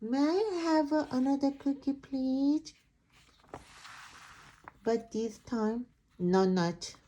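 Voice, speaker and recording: A woman voicing a small, polite, innocent duckling in a soft, simple storybook manner. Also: sits high, drawn-out words, dull audio, slight background noise. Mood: hopeful, polite. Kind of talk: picture book read-aloud character.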